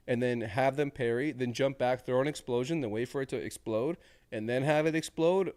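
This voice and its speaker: A man's voice: medium pitch, darkish tone. A male streamer with a cold, sniffly as he gives casual commentary on game previews, thinking aloud and rambling with restarts.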